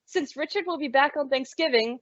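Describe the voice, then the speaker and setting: A woman's voice: sits high, a little fast, no room sound, highs cut off, lightly acted. A woman playing a sensible, wry young working woman in an old-time radio sitcom, patient but exasperated.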